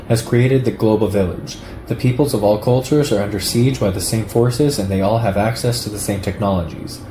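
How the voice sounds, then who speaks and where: A man reading a political text aloud in a measured, declarative way, like an audiobook. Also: mid-range voice, dark tone. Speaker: reader of a political essay. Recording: echoey room with clear background noise.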